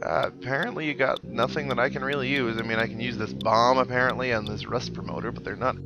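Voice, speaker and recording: A man's voice: mid-range voice, steady tone, fast, vocal fry, over music, rough recording. A man hosting a video game playthrough, giving casual commentary with wry, sarcastic asides. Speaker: gamer host of a let's play. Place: indoor recording with game music behind.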